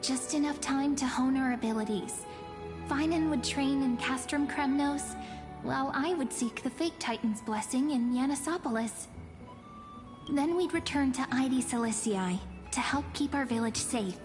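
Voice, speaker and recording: A woman's voice: high voice, normal speed, natural voice. A woman playing a teasing, fond young fortune-telling girl in a video game. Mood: earnest. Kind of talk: video game character acting. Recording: long hall reverb, faint music.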